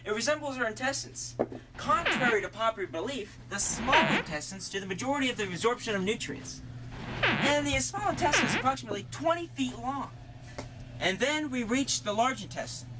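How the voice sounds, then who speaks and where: A teenage boy playing an excitable, rambling science educator in a spoof educational show. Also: very high-pitched, normal speed. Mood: enthusiastic, rambling. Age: teens. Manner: animated, emphatic, rambling. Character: excitable young male TV science educator, goofy parody. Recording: noisy homemade student video.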